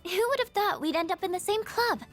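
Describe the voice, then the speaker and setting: Shy gentle schoolgirl, soft and hesitant, very high voice, music under. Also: expressive, a little fast. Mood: friendly.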